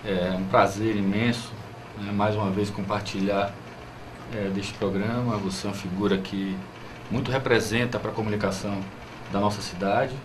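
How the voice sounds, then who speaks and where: A man's voice: lowish voice, fairly even intonation, normal speed. A man from Bahia with a Brazilian accent, answering as a political interview guest in hesitant phrases with frequent tag questions. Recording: clear background noise.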